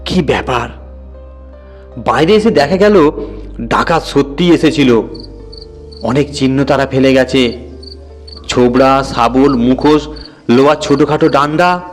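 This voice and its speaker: A man telling a story as a dramatic audio narrator. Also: medium pitch.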